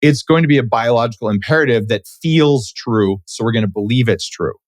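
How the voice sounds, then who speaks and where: A man's voice: mid-range voice, brisk, dry recording, studio quality. A middle-aged man hosting a podcast interview, chatty, riffing with jokey asides. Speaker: podcast host.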